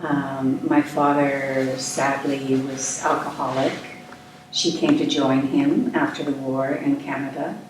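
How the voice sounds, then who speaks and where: An older woman born in Scotland, talking about her life in a relaxed, thoughtful way, pausing often as she answers. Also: very deep voice. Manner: relaxed, thoughtful, with frequent hesitations. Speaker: interview guest, actress. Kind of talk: casual conversational interview. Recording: echoey room, distant mic, faint noise.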